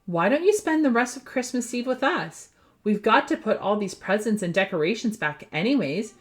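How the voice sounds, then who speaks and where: A woman's voice: mid-range voice, animated, normal speed, close to an everyday voice. A woman voicing an earnest, nervous young boy superhero in a children's storybook. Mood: earnest, encouraging. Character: young boy gecko-costumed hero, nervous but earnest. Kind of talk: children's storybook character reading.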